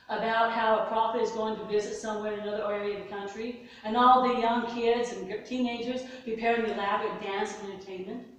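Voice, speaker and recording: A soft-spoken woman telling pioneer-style folk tales to a live audience, her voice lifting sharply in pitch at moments of surprise. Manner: soft-spoken, animated storytelling with sudden pitch lifts. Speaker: storyteller. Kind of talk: oral storytelling to a live audience. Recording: far-off mic in an echoey hall.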